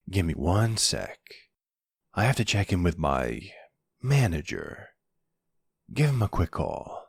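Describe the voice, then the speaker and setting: Man playing a deep, smug young mafia boss. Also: gravelly, breathy edge, no echo, studio quality, full character voice. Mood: teasing. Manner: teasing, unhurried, smug. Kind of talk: ASMR boyfriend roleplay acting.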